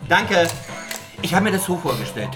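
An actress playing a busy, casual director at an advertising shoot, giving informal instructions in a drama. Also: female voice, on the low side, very animated, moderate pace, music in the background. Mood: instructing, upbeat.

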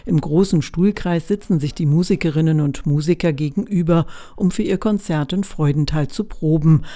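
A woman reporter reading a scripted radio feature in a calm, measured way. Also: sits low.

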